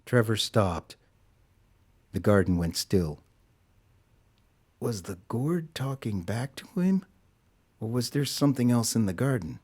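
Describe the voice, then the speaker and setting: A man's voice: on the low side, big pitch swings, unhurried, pauses often, a little mellow, husky. A man reading scripted story narration as the narrator of an audio drama.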